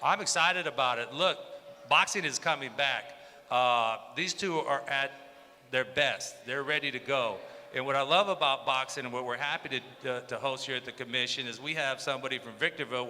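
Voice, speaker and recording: A man's voice: mid-range voice, sharp tone. A man from Southern California, a commission chairman, giving an upbeat civic speech at a press conference. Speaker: state athletic commission chairman. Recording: reverberant hall with faint background noise.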